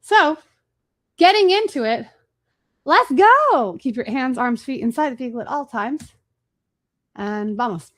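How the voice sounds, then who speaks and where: Fast-talking woman hosting a webinar. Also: sits high, animated, a bit bright. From Chicago, USA. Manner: fast, casual, chatty with fillers and restarts. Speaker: webinar host. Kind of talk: marketing webinar presentation.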